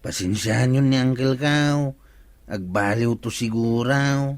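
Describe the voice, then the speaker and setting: A man's voice: medium pitch, a little slow, lightly acted. A man plays a disgruntled villager in a radio comedy drama. His voice sits mid-range, with an Ilocano Filipino accent and an earnest, complaining delivery. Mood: resigned.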